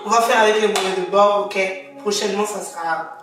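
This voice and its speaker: A woman's voice: medium pitch, steady tone, fairly quick. A woman co-hosting a casual chat podcast, telling stories animatedly with laughter. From Ivory Coast heritage. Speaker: podcast co-host. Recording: echoey room from far off, soft music.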